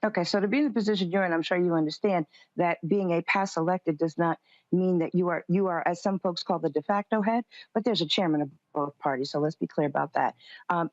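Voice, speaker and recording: A woman's voice: low-pitched, dry recording. A woman answering as a political guest in a debate-style interview, firm and guarded, pushing back on questions. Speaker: political guest, Republican party member. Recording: dull lo-fi sound.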